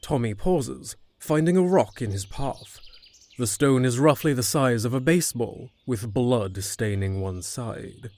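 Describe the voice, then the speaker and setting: A man's voice: mid-range voice, a little slow, darkish tone. A man reading scripted narration for a fantasy audio drama.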